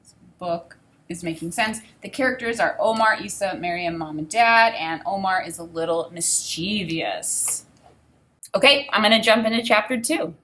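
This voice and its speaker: A woman in her thirties reading a children's book aloud as a teacher, expressive and deliberate, pausing to think aloud. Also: medium pitch. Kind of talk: read-aloud lesson with think-aloud commentary. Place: small indoor room with desk and bookshelf.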